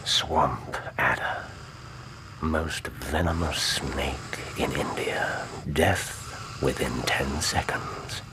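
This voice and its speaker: An actor playing a commanding, wry Victorian British gentleman detective in a radio drama, with a deliberate, theatrical delivery. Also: very deep voice, fairly even intonation, in a whisper, exaggerated voice. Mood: grave, hushed.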